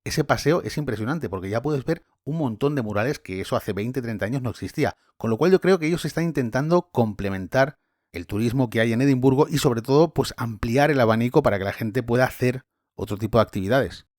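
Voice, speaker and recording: A man's voice: mid-range voice, expressive, brisk, a little mellow, no room sound, clean studio sound. A man hosting a travel chat, a tour guide who talks casually and puts questions to his guest. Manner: chatty, asks guest questions. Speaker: podcast host and tour guide.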